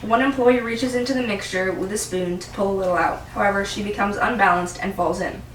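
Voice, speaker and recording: Young female narrator, amateur scripted reading, middle pitch, echoey, faint noise. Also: a bit bright. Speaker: narrator reading stage directions. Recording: roomy, distant mic, faint noise.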